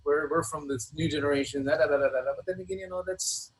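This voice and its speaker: A man speaking casually as a music interview guest, in halting, repetitive phrases with many filler words. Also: fairly high pitch, rough recording. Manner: halting, repetitive phrasing with frequent "you know". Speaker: guest hip hop artist.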